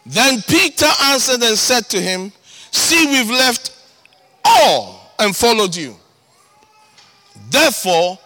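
A man with a Ghanaian accent preaching a sermon as pastor, animated, breaking into shouts and sing-song emphasis. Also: sits high.

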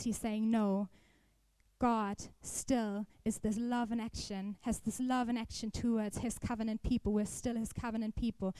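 A woman's voice: fairly high pitch, warm tone, clear, resonant tone, no echo, low-quality recording. A young woman giving a careful, explanatory sermon to a church congregation, occasionally restarting her words. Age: young adult. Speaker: student speaker at a church service.

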